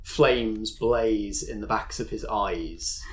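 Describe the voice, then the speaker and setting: Low-voiced game master. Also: male voice. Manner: descriptive, measured, slows for drama. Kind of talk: narrating a tabletop game.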